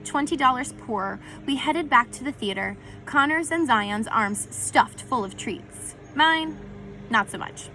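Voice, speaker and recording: A woman narrates a storybook aloud in the first person with expression. Her voice is fairly high and very bright, and there is a faint noise in the recording. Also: lively intonation, measured pace, low-quality recording.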